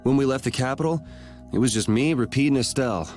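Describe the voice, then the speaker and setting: A man's voice: medium pitch, fast, darkish tone, soft background music, close to an everyday voice. A man voicing a cool, sardonic young swordsman in a video game, laid-back, wry and teasing. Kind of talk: English video game dub acting.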